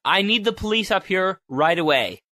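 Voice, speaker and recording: A man's voice: high-pitched, expressive, moderate pace. A man playing a plucky, polite young reporter hero, earnest, in an audio drama. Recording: clear background noise, clicks.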